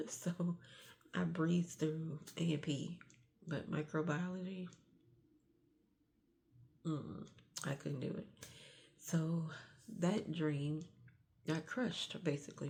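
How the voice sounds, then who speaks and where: Stuffy-nosed woman with a low, gravelly voice. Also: steady tone, slow pace, pauses often. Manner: hushed early-morning talk, sighs and restarts. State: stuffy nose, getting sick. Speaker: vlogger. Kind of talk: confessional vlog.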